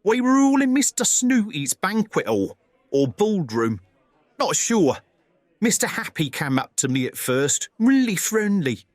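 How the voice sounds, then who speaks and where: A man's voice: sits high, sing-song, measured pace, no room sound. A man playing a big, cheerful, forgetful cartoon character who rambles vaguely in a mock documentary interview for an audio drama. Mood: cheerfully vague.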